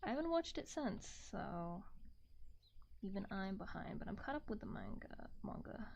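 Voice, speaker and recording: A woman streamer chatting softly and gently in an ASMR style, often slipping into a whisper, close to a binaural microphone. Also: mid-range voice, sing-song, moderate pace. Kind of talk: soft ASMR livestream chat. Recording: muffled close binaural mic, clear background noise, clicks.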